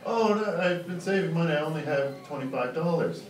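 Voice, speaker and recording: A man's voice: on the high side, expressive, a little fast, lightly acted. A man on stage voicing an earnest, hesitant young boy pleading for a dog in a comic story. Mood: hopeful, hesitant. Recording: echoey live room, distant mic.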